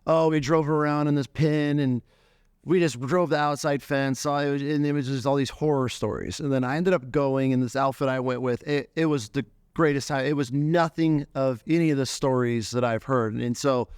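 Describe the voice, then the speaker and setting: American guy hosting, clear clean voice, keeps restarting sentences. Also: mid-range voice, fairly even intonation, moderate pace, darkish tone, no room sound, studio quality. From United States. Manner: eager, talks in loose restarts. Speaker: podcast host, hunting guide. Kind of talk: casual chat.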